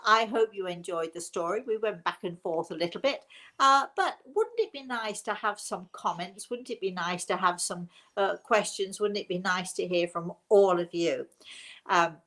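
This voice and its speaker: An older woman hosting a casual live stream, talking in a halting way and often repeating words as she goes. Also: fairly low pitch, fairly bright tone. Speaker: host. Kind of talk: casual live-stream talk answering viewer comments.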